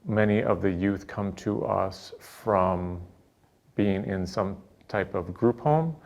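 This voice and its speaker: A man explaining his work with young people in a measured interview style. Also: fairly low pitch, vocal fry, muffled recording. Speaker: youth nonprofit worker.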